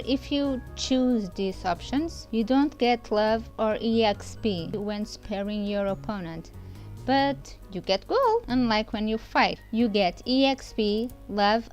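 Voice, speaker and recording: Woman, somewhat high voice, casual video game review, informal, chatty, talks straight to viewers, soft background music.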